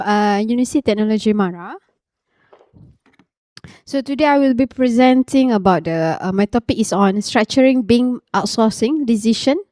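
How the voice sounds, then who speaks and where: A Malaysian-accented woman researcher giving a conference talk, halting, full of fillers, restarts and repeated "okay". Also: a little high, mellow, no room sound, clean studio sound.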